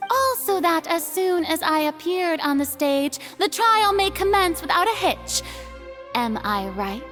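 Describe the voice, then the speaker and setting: A woman's voice: very high voice, sing-song, measured pace, a bit bright, soft background music, heavily acted. A woman playing a proud, theatrical young female archon in a video game, pompous and grand yet shaken underneath. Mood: haughty, knowing. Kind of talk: dramatic video game voice acting.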